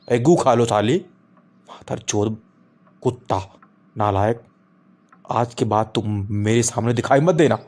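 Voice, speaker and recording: A man's voice: fairly low pitch, a little mellow, natural voice. A man voicing an exasperated, preachy middle-aged father in a comic cartoon. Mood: furious, abusive. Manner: preachy, foul-mouthed. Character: exasperated middle-aged Indian father scolding his wayward son.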